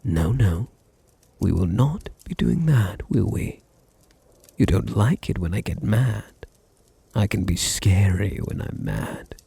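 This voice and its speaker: A man with a deep British voice playing a possessive, dominant vampire master in an intimate ASMR roleplay, teasing and condescending, with a gravelly, husky murmur. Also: lively intonation, warm tone, heavily acted. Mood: soft menace. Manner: slow, teasing, condescending, drops into breathy murmurs.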